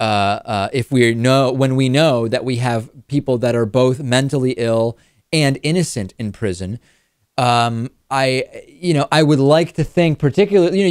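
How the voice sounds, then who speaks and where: A man hosting a conversational political talk show, reasoning aloud in a measured way. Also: medium pitch, a little mellow, no room sound, studio quality. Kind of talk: conversational political talk, reading listener questions.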